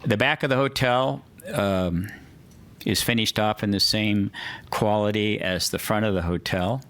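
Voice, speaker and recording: Man, lowish voice, bright tone, public meeting presentation, listing points. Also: a little slow, slight background noise. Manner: reads a prepared statement, listing points with some fillers. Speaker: hotel developer presenting to a city commission. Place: city meeting room.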